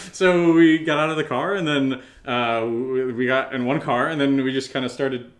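A man's voice: medium pitch, moderate pace. A man with an American accent chatting casually as a podcast co-host, playful and teasing. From Connecticut, US. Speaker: podcast co-host, audio drama creator. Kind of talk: casual Q&A chat.

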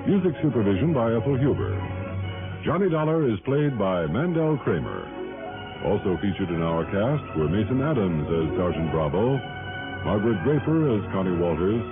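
A male American announcer reads scripted credits in 1960s radio style. His lowish voice swoops widely in pitch. The broadcast sound is narrow and old.

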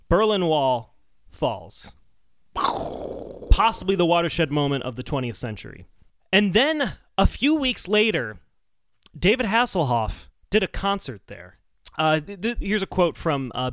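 A man co-hosting a podcast, telling researched stories in a casual, animated chat. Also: a little high, no room sound, clean studio sound. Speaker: podcast co-host.

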